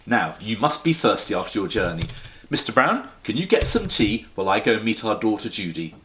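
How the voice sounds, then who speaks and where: A man voicing an excitable, kindly, motherly married woman who speaks in exclamations. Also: lowish voice, brisk, a little hiss or hum. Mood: decisive. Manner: exclamatory, decisive.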